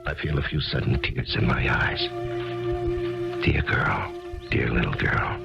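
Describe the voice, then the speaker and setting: Brief: persuasive, searching widower, very deep gravelly voice, muffled old broadcast with music beneath. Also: male voice, measured pace, exaggerated voice. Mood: reflective. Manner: intimate, coaxing, reflective narration. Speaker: narrator and lead. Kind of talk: 1970s radio drama acting with first-person narration. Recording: muffled 1970s radio broadcast with music underneath.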